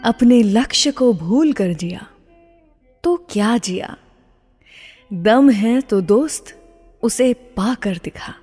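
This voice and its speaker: A woman presenting a scripted radio show as its host, speaking in a measured, presenterly way. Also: on the high side, expressive, dark tone, husky. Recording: long reverb, music behind. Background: background music.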